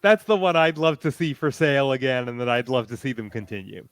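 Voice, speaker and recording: A man's voice: mid-range voice, fairly quick, clean tone, no room sound. A man speaking as a panelist in a casual, enthusiastic fan discussion.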